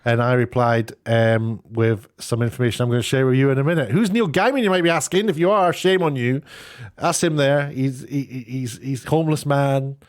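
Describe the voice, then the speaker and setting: A man's voice: mid-range voice, a little fast. A British man giving casual, opinionated commentary in a rambling, chatty way with sarcastic asides. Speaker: online video commentator. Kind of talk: casual opinionated commentary, reading out tweets and figures.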